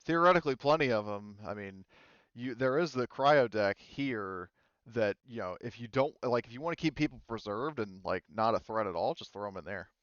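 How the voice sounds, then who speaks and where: A man's voice: lowish voice, expressive, normal speed, a bit bright, smooth, no room sound, dull audio. A man narrating as game master, rambling with many fillers and restarts. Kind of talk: narrating a tabletop game, casual.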